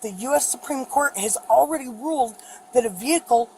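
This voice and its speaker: An American woman arguing with police in an emphatic, deliberate way as a driver at a stop. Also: sits high, a little mellow. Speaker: driver being arrested. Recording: faintly noisy outdoor bodycam audio.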